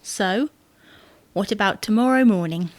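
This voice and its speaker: A woman's voice: mid-range voice, a little slow, darkish tone, dry recording, natural voice. A woman playing an exasperated, image-conscious wife who scolds and bosses in a domestic comedy audio drama. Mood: firm persuading. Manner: bossy, scolding, sarcastic.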